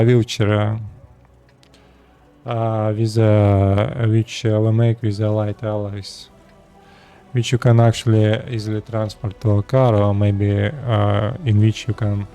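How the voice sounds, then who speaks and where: A 36-year-old man from Russia with a Russian accent, speaking halting second-language English as he talks casually to his viewers. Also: on the low side, little pitch movement, warm tone, soft background music. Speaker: vlogger. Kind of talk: casual personal talk to camera.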